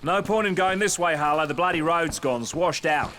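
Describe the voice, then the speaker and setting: An actor playing a gruff, impatient captain in a video game, with a blunt, weary, slangy way of talking. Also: male voice, a little high, a little fast, background noise, natural voice. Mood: weary, annoyed. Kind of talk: video game cutscene acting.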